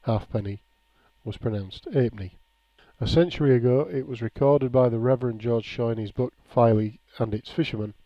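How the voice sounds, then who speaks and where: A man reading a nostalgic written memoir aloud in a measured, plain storytelling way. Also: medium pitch. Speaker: reader of a written local-history account. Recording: dull, lo-fi, echoey room.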